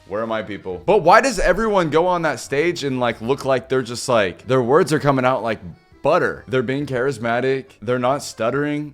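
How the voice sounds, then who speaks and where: A young man streamer talks loosely in a medium-pitched voice. He rambles and pokes fun at himself, swearing freely, and now and then trips over a word because of a stutter. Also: fairly bright tone. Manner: rambling, self-mocking, swears often; says he has a stutter. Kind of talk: casual livestream chat.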